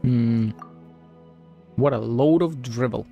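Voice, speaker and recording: A man's voice: mid-range voice, takes it slowly, gravelly, warm tone. A man streaming a game with casual live commentary, musing and rambling as he goes, swearing casually. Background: game music.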